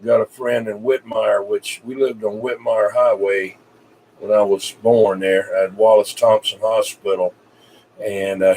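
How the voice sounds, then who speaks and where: Low-voiced Southern man on a distant mic. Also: a little mellow. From South Carolina, United States. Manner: rambling, folksy. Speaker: CB radio operator. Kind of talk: casual radio chat. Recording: distant mic with background hiss.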